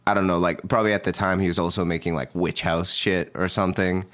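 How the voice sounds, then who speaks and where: A man's voice: low-pitched, flat delivery, quick pace, a bit bright. A young man, a musician guest in a casual interview, talking in a laid-back, rambling way full of fillers.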